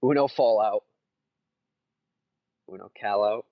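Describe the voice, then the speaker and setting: A man's voice: lowish voice, slow, dry recording. A man giving casual colour commentary on a card game in short, often repeated remarks, on a dull lo-fi recording.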